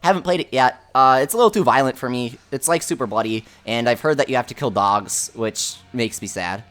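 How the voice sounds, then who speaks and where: A man hosting a game livestream with a high voice, answering viewer questions in a chatty, offhand way full of fillers. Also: a little fast, a bit bright, soft background music. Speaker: livestream host. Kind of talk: casual livestream chat, reading viewer questions.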